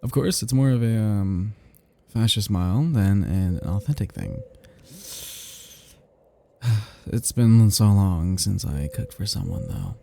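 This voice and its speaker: A man playing an ancient, flirtatious, possessive vampire in an intimate ASMR roleplay, speaking to the listener softly and huskily in a halting, teasing, casually menacing way. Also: on the low side, very animated, a little hiss or hum, exaggerated voice. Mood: friendly, teasing. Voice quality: soft, husky, gravelly.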